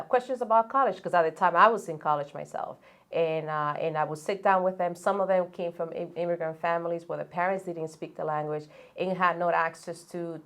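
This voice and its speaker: Woman with a low voice. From Dominican Republic. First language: Spanish. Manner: earnest and steady, with frequent restarts and fillers. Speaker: guest, college coach and business founder. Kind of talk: conversational interview storytelling.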